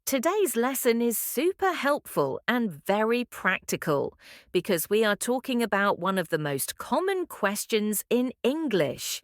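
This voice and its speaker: A woman co-hosting a podcast English lesson, speaking in a slow, clear, friendly teaching manner. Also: a little high, very animated, dry recording, studio quality. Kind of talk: scripted English lesson dialogue.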